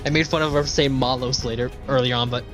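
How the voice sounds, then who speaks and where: A man's voice: medium pitch, fairly even intonation, quick pace. A man livestreaming with casual, enthusiastic and sweary commentary over video game cutscenes. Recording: game music playing loudly behind. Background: video game cutscene music and dialogue.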